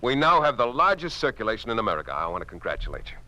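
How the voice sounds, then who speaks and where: A man's voice: mid-range voice, very animated, racing, sharp tone. A man acting in a 1950s radio drama as a naive, well-meaning newspaper publisher who protests earnestly. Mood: pleased, hesitant. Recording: noisy old 1950s radio recording.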